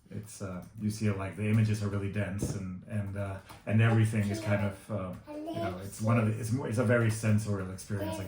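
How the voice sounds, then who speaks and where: A man in his thirties from Guatemala, answering interview questions casually, with many fillers and restarts. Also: fairly low pitch. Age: 30s. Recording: echoey room with faint noise.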